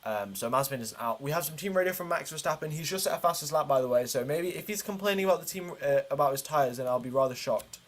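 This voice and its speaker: A man with a slightly high voice commentates live on a motor race as a stream host. His delivery is informal and chatty, and he often explains what is happening to his viewers. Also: animated, fast talker, few pauses.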